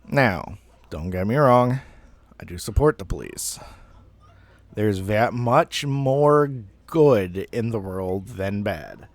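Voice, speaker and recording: Male, thirties, lower register, dry close sound; casual, halting, sweary storyteller. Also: lively intonation, dry recording. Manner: rambling, halting, profane. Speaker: amateur storyteller. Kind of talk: casual personal storytelling monologue.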